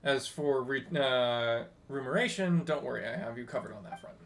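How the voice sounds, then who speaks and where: A man voicing a chatty, teasing ship's technical officer from a game, reading the dialogue aloud in an animated, slightly halting way. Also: mid-range voice, lightly acted. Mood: eager, explaining.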